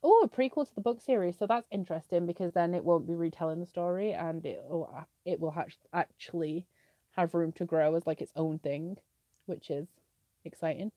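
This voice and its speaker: Young british girl rambling through a live q&a, a bit sniffly, low-ish mellow voice, says like a lot. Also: moderate pace, no room sound. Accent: British English. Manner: chatty, many "like" fillers. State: sniffly with a cough. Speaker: booktuber answering viewer questions. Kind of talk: casual live Q&A chat.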